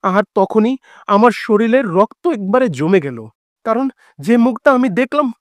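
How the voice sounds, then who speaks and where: A man's voice: high-pitched, expressive, unhurried, slight background noise. A man narrating a scripted first-person horror story in a dramatic, suspenseful storytelling manner. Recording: background music under the voice.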